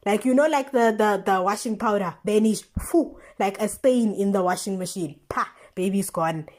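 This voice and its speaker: A young woman with a South African accent chatting casually, retelling stories in an animated, slangy way with exclamations and rhetorical questions. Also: medium pitch, moderate pace. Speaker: vlogger. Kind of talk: casual chatty storytelling commentary.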